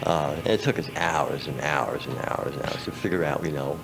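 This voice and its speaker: A man's voice: a bass voice, moderate pace, creaky. A middle-aged man telling stories casually as a musician guest in a radio interview. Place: radio studio. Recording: noisy old radio aircheck.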